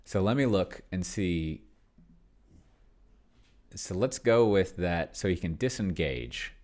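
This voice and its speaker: A middle-aged man with a low voice talks casually as he plays a tabletop game on his own. He rambles and halts, half muttering his thoughts aloud, with computer keys clacking as he types. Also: lively intonation, a little mellow. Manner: rambling, halting, muttering to himself. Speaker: host of a solo roleplaying video. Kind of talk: casual thinking-aloud commentary while playing a tabletop game. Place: at home, at a computer.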